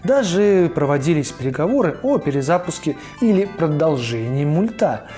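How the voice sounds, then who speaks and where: A man's voice: fairly high pitch, very animated, normal speed, warm tone, soft background music. A man narrating an informal scripted video essay as its host, in a colloquial, opinionated manner. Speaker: host of a cartoon retrospective video.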